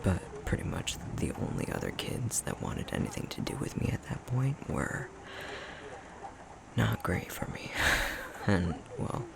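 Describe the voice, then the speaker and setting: A man playing a tender, self-conscious young fox-shifter boyfriend in an intimate ASMR roleplay, speaking in a soft, breathy, halting murmur. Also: low-pitched, wildly swooping pitch, background noise, exaggerated voice. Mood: wry, reminiscing. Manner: unhurried, halting, self-deprecating chuckly storytelling.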